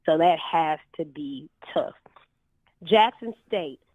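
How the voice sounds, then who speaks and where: A woman's voice: sits low, lively intonation, takes it slowly, slightly rough, no echo. A woman co-hosting a casual college chat, heard over a thin, phone-like line. The sound is very dry and close. She is enthusiastic and affirming as she moves the show from topic to topic. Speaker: podcast co-host.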